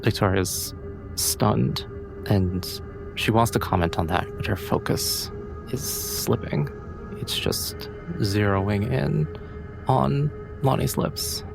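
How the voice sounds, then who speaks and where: Creaky-voiced man narrating his roleplay character. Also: fairly low pitch, takes it slowly, over music, low-quality recording. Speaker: player in a tabletop game. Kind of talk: third-person narration of own character.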